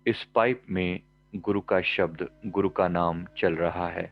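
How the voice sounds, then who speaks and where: A man with a low-pitched voice guides a meditation. He gives calm, measured instructions in short phrases, leaving pauses between them. The pace is very slow, and the recording sounds slightly dull. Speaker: meditation teacher and life coach.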